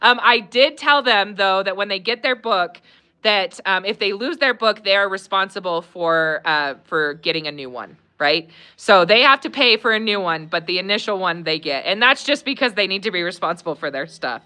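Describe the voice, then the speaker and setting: A woman's voice: medium pitch, expressive, moderate pace. A woman hosting a live Q&A stream, chatting casually with many fillers, laughing often and reading viewer comments aloud. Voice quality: warm, conversational, many fillers.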